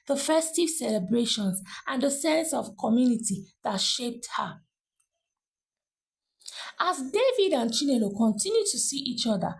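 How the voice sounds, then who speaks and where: A woman narrates a scripted story in a deliberate, dramatic way, pausing between phrases. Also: fairly high pitch, gravelly.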